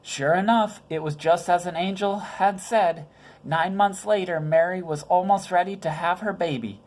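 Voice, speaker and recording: A woman's voice: fairly low pitch, normal speed, darkish tone. A woman reading a children's Bible story aloud, with informal, conversational asides in simple words. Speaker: storybook reader.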